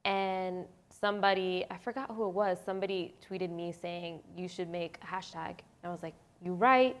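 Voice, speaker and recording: A woman's voice: fairly low pitch, normal speed, clean tone. A woman on a panel, telling a personal story in a chatty way and laughing easily. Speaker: guest panelist. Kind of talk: casual panel conversation.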